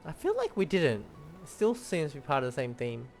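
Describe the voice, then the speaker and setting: A man's voice: fairly high pitch, wildly swooping pitch, a little fast, vocal fry, darkish tone. A man doing casual gameplay commentary, thinking aloud with brief reactions. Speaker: game streamer. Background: game music.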